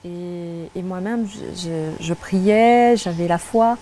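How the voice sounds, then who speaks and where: A woman's voice: on the low side, takes it slowly, mellow, a little hiss or hum. A middle-aged woman from French Guiana, a guest telling her life story in an earnest, reflective way with frequent restarts. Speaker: interview guest, spiritual guide. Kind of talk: personal testimony in conversation.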